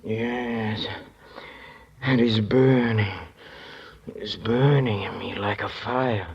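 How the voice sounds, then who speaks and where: An actor playing a mad, educated religious fanatic who preaches scripture haltingly and fervently in an old-time radio drama. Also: male voice, fairly even intonation, husky, heavily acted. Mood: anguished ecstasy. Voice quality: low voice. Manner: hesitant, halting, fervent scripture-quoting speech. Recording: muffled vintage radio recording.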